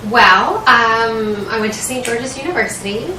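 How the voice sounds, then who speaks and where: A young American woman answers interview questions in an earnest, searching way. Her voice is bright and a little high. She is picked up from a distance in a very echoey room. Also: moderate pace. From Washington State, United States. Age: young adult. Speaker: medical student interviewing for residency. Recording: roomy echo, distant mic, faint noise.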